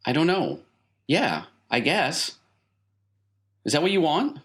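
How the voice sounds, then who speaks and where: A man playing a fed-up, sharp-tongued ex-wife in an improvised tabletop roleplay. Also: a little high, huge pitch swings, takes it slowly, voice pushed up, heavily acted. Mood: hesitant, yielding.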